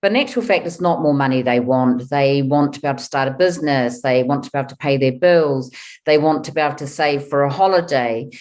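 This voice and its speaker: A woman's voice: very deep voice, fairly quick, darkish tone. A woman in her fifties with a New Zealand accent, a wealth coach answering interview questions in a chatty way. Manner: chatty, thinks aloud with fillers.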